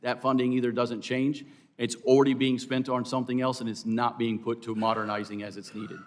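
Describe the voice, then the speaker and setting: A man with a medium-pitched voice gives formal testimony as an expert witness. His delivery is earnest and emphatic, addressed to officials in a hearing. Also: flat delivery, brisk. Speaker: air traffic controllers' representative, hearing witness. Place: hearing room.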